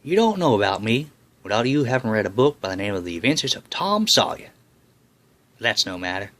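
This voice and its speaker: A man's voice: on the low side, sing-song, a little fast, a bit bright. A man performing a dramatic monologue as a folksy, plain-spoken boy. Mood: frank, wry. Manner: drawling, folksy, ungrammatical storytelling.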